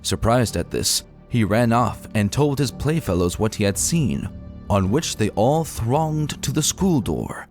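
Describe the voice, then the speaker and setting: A man reading a scripted old ghost account as narrator, in a measured, formal storytelling manner. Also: medium pitch, big pitch swings, a little mellow, faint music behind.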